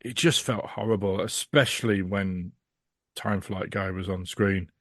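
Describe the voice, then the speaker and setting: A man's voice: low-pitched, steady tone, unhurried, no echo, clean studio sound. A British man as a podcast guest, chatting casually in a blunt, emphatic, sweary way. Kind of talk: casual chat.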